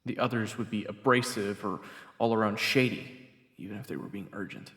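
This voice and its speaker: A man's voice: fairly low pitch, brisk, a bit bright, husky. A man playing an earnest, easily flustered young hero who also narrates a fantasy audio drama. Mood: grave narration. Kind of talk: audio roleplay acting with first-person narration.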